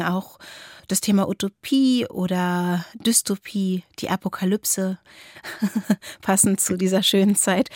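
A woman's voice: medium pitch, darkish tone, no room sound. Woman cohosting a philosophy style chat, reflective and conversational, really dry close sound. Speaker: podcast co-host.

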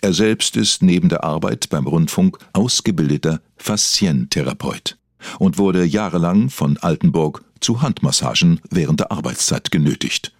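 Deep, husky man acting a documentary narrator. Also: animated, normal speed, no echo, studio quality. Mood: solemn, dramatic. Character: male documentary narrator, solemn, mock-investigative.

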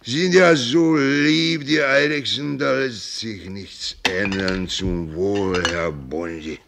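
Gravelly old sea captain. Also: male voice, on the low side, fairly even intonation, slow, a bit bright, a little hiss or hum, exaggerated voice. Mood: sentimental, earnest. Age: older. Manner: rambling, folksy storyteller, sprinkles in English words. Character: old sea captain, boisterous, tipsy, sentimental, shrewd. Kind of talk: radio play acting.

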